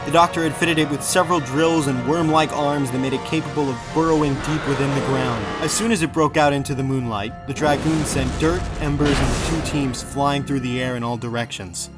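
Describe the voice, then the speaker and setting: A man's voice: medium pitch, fairly even intonation, a little fast, hardly any pauses. A man narrating an adventure audiobook with dramatic scripted delivery. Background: clear background music.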